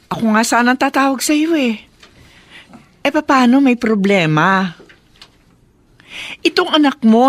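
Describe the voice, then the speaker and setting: A woman's voice: a little high, a bit bright, no room sound. An actress playing a fretful, worried stepmother in a radio drama, talking in a flustered, plaintive, hesitant way. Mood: concerned. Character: fretful, worried stepmother on the phone to her husband.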